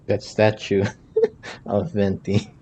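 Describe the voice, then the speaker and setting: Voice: low voice, little pitch movement, slow, husky. A gamer giving casual let's play commentary in offhand, muttered asides with swearing. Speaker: gamer, video creator. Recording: poor audio, loud game music behind.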